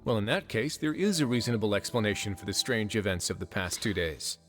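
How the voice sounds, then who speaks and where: An actor playing a composed male investigator in a video game, laying out reasoning in a measured, assured way. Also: lowish voice, lively intonation, close to an everyday voice. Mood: measured, analytical. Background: game music.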